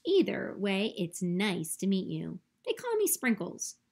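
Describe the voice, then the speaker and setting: A small, hospitable female skunk with a squeaky, eager voice, as a woman puts her on for a storybook reading. She chatters excitedly, pitched noticeably higher and louder than the reader's own voice. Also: a little slow, creaky, exaggerated voice.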